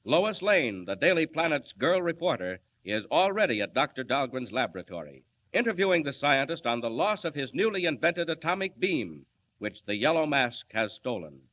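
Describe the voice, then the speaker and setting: A man's voice: medium pitch, sing-song, moderate pace, fairly bright tone, highs cut off. A man narrating a 1940s radio serial in a suspenseful, theatrical scripted style.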